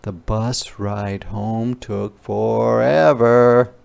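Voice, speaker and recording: A man doing an interactive picture-book read-aloud for young children, pausing to ask questions and explain words simply. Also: lowish voice, fairly even intonation, vocal fry, a little mellow. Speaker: teacher reading aloud.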